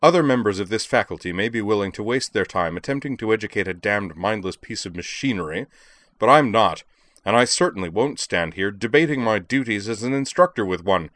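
A stern male professor, as a male narrator plays him, with a low voice and a dry, close sound. He is cold and scornful, speaking in cutting, formal phrases as in a storybook reading. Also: moderate pace, fairly bright tone, no room sound. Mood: angry, commanding. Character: cold, harsh, indignant male literature professor, scornful and commanding.

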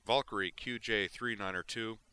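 A man's voice: fairly low pitch, steady tone, moderate pace, pushed and bright, clean tone, pitched above the performer's own voice. A man voicing a stern male patrol commander giving orders over a ship's comm.

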